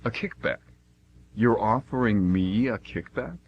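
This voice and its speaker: An actor playing God as an easygoing, punning, folksy man with a husky voice, in an amateur radio comedy. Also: on the low side, unhurried, dull audio. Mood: wary.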